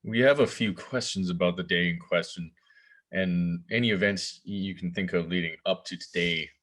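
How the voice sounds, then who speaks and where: Low-voiced, courteous police officer read by a man. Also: normal speed, a bit bright. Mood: polite, formal. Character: polite, formal male small-city police officer. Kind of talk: table read of a drama script.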